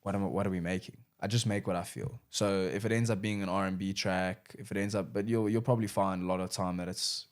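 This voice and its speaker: Laid-back young man, lowish voice. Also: fairly even intonation, smooth. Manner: laid-back, slangy, says "bro" often, quick laughs. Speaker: rapper and producer guest. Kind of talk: casual interview chat.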